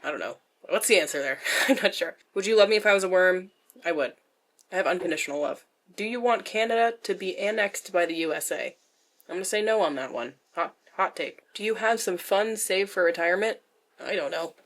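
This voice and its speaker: A woman's voice: on the low side, expressive, fairly quick, a bit bright. A young adult woman with a nasal voice answers questions in a casual vlog style, candid and self-deprecating, with dry jokes and swearing. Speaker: vlogger.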